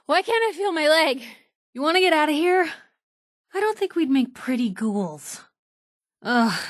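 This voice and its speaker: A woman's voice: sits high, a bit bright, no room sound, clean studio sound. An actress playing a plucky young woman newspaper reporter in a video game, with a wry, teasing, conversational way of talking. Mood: alarmed. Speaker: companion character voice actor.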